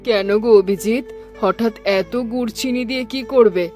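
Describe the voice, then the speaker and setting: A woman's voice: on the high side, normal speed, darkish tone, faint music behind, natural voice. A woman voicing an inquisitive village shopkeeper in cartoon dialogue. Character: inquisitive village shopkeeper woman, familiar elder-sister type.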